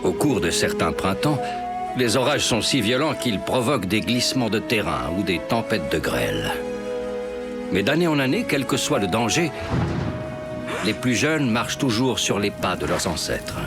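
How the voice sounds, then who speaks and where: A man playing a wise elder storyteller and guide in an animated cartoon, measured and explanatory. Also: on the low side. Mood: solemn. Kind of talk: scripted cartoon narration. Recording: loud music under the voice.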